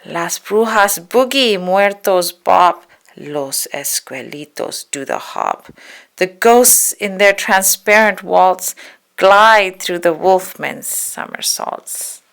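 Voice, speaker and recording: A woman storyteller with a low, creaky voice reads a spooky children's poem at a very slow pace. She draws out her words dramatically and slips into hushed whispers. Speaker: storybook reader.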